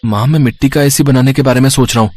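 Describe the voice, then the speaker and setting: A man voicing an earnest, hardworking poor village boy in an animated moral story, speaking plainly and reassuringly. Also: mid-range voice, fast talker, no room sound, lightly acted. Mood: hopeful.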